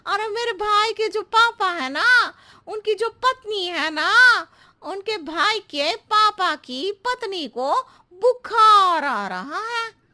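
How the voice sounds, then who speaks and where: A woman's voice: extremely high pitch, animated, slow pace, bright, forceful tone, heavily acted. A woman voicing a cheerful, scatterbrained housemaid who talks in long-winded circles in a comic story. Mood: pleading.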